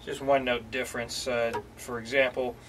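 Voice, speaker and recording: Relaxed man teaching guitar, slightly distant. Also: mid-range voice, normal speed, background noise. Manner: relaxed, many fillers and restarts. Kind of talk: casual instructional talk. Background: guitar playing.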